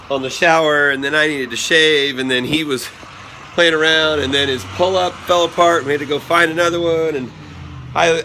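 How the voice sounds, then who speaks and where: A hoarse man rambling chattily through his day as a vlogger, quick to laugh. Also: on the high side, pushed and bright, slight background noise. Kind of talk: casual daily vlog chat.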